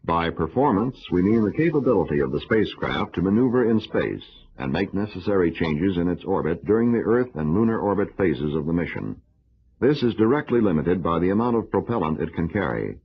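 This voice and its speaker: A man delivering scripted educational film narration in a formal, measured, instructional manner. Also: deep voice, big pitch swings, husky. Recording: dull, lo-fi old film soundtrack.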